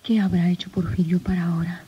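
A woman's voice: fairly low pitch, little pitch movement, quick pace, husky, dull audio. An actress playing a fearful, submissive young woman held by a gangster, hesitant and anxious, in an old radio soap opera.

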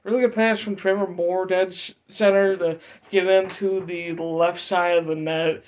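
A young man giving a casual game recap from notes, speaking haltingly and stumbling over names and figures. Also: high voice, flat delivery. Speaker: hockey fan vlogger. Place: dim bedroom, seated at desk.

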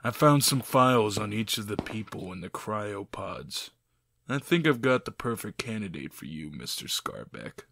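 An actor playing a deferential, matter-of-fact aide to a gang boss, explaining deliberately in an audio drama. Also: male voice, lowish voice, very animated, creaky, fairly bright tone, slight background noise, heavily acted. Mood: grave. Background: music.

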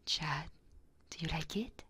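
A woman streaming ASMR, chatting casually and playfully with her viewers in a soft, intimate style. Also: deep voice, unhurried, breathy edge.

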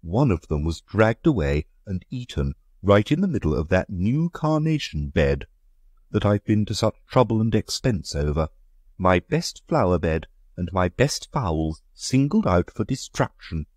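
A man voicing a blustering, indignant country gentleman in a reading of a story. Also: deep voice, big pitch swings, unhurried, husky, dry recording, studio quality, voice pushed up, heavily acted. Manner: blustering, emphatic.

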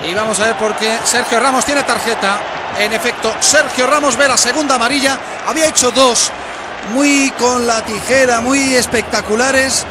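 A man's voice: very high-pitched, fairly even intonation, normal speed. A man from giving live football commentary. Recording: loud crowd, noisy broadcast clip.

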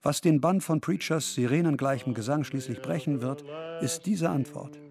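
A man's voice: fairly high pitch, moderate pace, creaky, a little mellow. A man reading scripted, essayistic radio narration.

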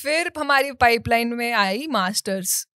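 A woman's voice: on the high side, animated, measured pace, bright, forceful tone, dry recording, clean studio sound. A young woman from India, a comedian telling stories in a casual podcast chat, wry and breezy, mixing in English. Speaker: guest comedian. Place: small indoor podcast studio. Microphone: studio mic on boom arm.

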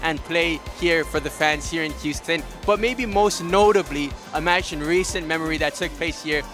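A man's voice: a little high, moderate pace, a bit bright, soft background music. A man narrating a soccer travel vlog, upbeat and talking straight to his viewers. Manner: upbeat, addresses viewers as guys. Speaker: soccer vlogger. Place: outdoors and inside a large stadium.

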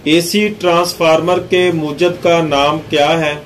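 A man narrating scripted quiz questions and answers in a measured, deliberate reading. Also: fairly high pitch, level tone, a bit bright, slight background noise.

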